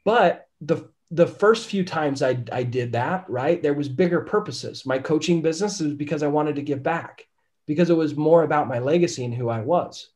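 Man, somewhat higher voice, raspy from being sick, candid, keeps asking "right?", podcast guest. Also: normal speed, darkish tone. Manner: candid, says "right?" often. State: raspy from illness. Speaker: podcast guest, real estate investor. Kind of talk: casual storytelling interview.

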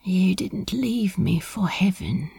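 A brash demon lover, voiced by a woman for a fan audio drama, with a gravelly, husky edge. The delivery is blunt and breathy and swings widely in pitch, in a close, dry recording. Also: sing-song, takes it slowly, mellow, dry recording, exaggerated voice. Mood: raw, breathless.

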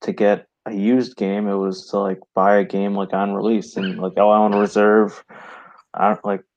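A man's voice: lowish voice, flat delivery, moderate pace, darkish tone, highs cut off. A man with an American accent chatting casually as a podcast co-host, in a hesitant, easygoing way. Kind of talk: casual chat.

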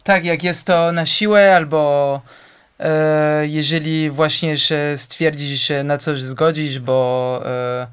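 A man's voice: on the high side, little pitch movement, slow, clear, resonant tone. A young man talking as a guest in a casual interview, hesitant and often searching for words.

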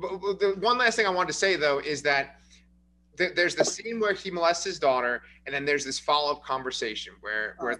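A man co-hosting a casual movie review podcast, explaining his points in an earnest, measured way. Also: a little high, animated, pushed and bright, slight background noise, poor audio. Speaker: podcast co-host.

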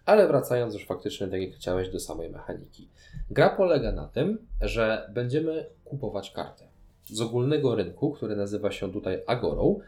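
A low-voiced man talks through tabletop games in a detailed, explanatory chat. His tone is dark and mellow. The recording is roomy and echoey. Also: very animated, moderate pace. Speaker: podcast co-host. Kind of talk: board game review chat.

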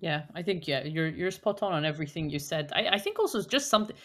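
Chatty, animated woman guest. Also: low-pitched, fast. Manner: animated, chatty, many fillers like "like" and "you know". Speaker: podcast guest. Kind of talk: casual podcast conversation.

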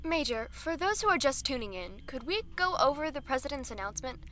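A woman's voice: sits high, sing-song, brisk, pushed and bright, slight background noise, full character voice. An actress playing a poised female radio news anchor reading the news. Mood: formal. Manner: crisp formal newsreading. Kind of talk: radio news broadcast acting.

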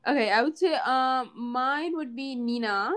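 A woman's voice: high voice, measured pace, a bit bright. A young woman co-hosting a podcast, chatting casually and hesitantly with many fillers. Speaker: podcast co-host. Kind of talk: casual chat.

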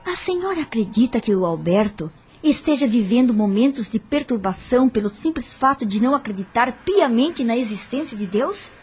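A woman's voice: fairly high pitch, expressive, normal speed, few pauses, a little mellow, slightly rough. An actress playing a troubled widow in a radio soap, speaking earnestly and respectfully as she seeks understanding. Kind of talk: radio soap opera acting. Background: music.

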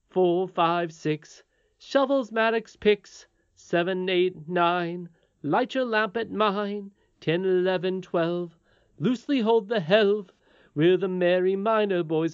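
A woman's voice: fairly low pitch, clear, resonant tone, dry recording, highs cut off, voice pushed up. A woman playing a merry, defiant miner boy, singing a work song in a storybook.

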